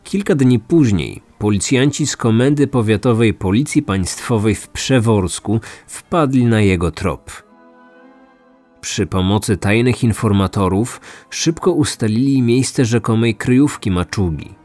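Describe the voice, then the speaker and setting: A male true crime narrator with a fairly low voice. He reads a scripted story seriously and with measure, over faint music. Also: measured pace, darkish tone. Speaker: narrator, host.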